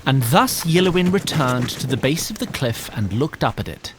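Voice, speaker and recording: An actor playing a wry, theatrical storyteller, narrating a fantasy tale in formal, florid language. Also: male voice, medium pitch, big pitch swings, moderate pace, noisy background, close to an everyday voice. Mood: grave storytelling. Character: wry, theatrical storyteller addressing his listeners. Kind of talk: scripted dramatic fantasy narration.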